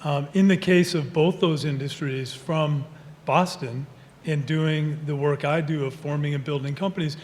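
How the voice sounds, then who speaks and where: A man's voice: a little high, steady tone, moderate pace, darkish tone. A man speaking on a conference panel who asks the audience questions and answers them himself. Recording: echoey hall with faint noise.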